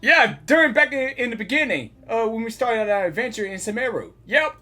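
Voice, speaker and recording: A woman giving casual live gaming reactions, excitable and repeating herself as she thinks aloud. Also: on the high side, a little fast, soft background music.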